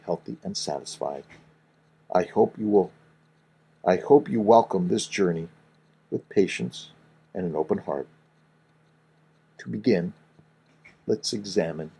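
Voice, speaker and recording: A middle-aged man reads his own book aloud in a deep, husky voice. He speaks slowly and earnestly, in a soothing way. Each phrase sinks gently at its close. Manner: slow, soothing, earnest delivery with falling phrase endings. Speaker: fertility doctor reading his book.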